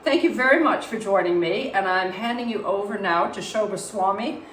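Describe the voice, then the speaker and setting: A woman with a slight cold and a hoarse voice gives a calm cooking demonstration in her home kitchen, explaining each step and why it is healthy. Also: mid-range voice, moderate pace. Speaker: cooking presenter. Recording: roomy, distant mic, faint background hum.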